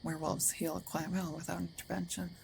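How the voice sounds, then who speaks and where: A woman playing a gruff but caring, territorial werewolf in an intimate ASMR roleplay, hesitant and often dropping into breathy half-whispers. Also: on the low side, level tone, brisk, a little mellow, soft background music. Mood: matter-of-fact, tending. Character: gruff female werewolf, territorial yet caring, awkward protector.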